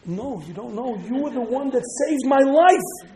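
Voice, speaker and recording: A man's voice: sits high, normal speed, creaky, mellow. A man voicing an earnest, grateful general in a told tale, speaking emphatically and directly. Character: grateful Polish general turned prime minister, earnest. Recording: echoey room.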